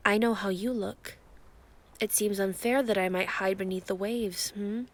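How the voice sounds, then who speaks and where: A woman playing an ancient, giant female sea creature, calm and grandiose, flirting possessively in an intimate roleplay monologue. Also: medium pitch, measured pace, close to an everyday voice. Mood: teasing adoration. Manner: calm, teasing, grandiose, formal wording.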